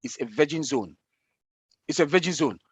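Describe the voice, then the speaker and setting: A man's voice: fairly high pitch, expressive, normal speed, breathy edge, dry recording. A man from Africa, a panel guest, speaking emphatically and repeating his key phrases. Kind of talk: casual panel chat.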